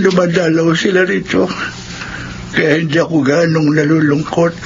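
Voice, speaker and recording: An actor playing a kindly old widowed gravedigger in a Filipino radio drama, gentle, halting and chatty. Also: male voice, on the high side. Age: older. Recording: dull radio recording, faint background noise.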